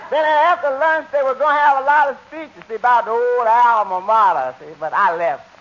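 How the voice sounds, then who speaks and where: An excitable, shady small-time lawyer, very high and sharp, full of self-importance. It is 1940s radio comedy on a muffled old recording. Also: male voice, moderate pace. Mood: chipper, brazen. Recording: muffled vintage radio with faint hiss.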